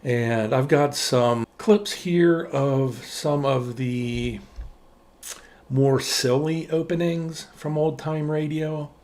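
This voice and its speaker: A man's voice: on the high side, slow pace, a little mellow. A man presenting in a casual explainer style, rambling and hesitant.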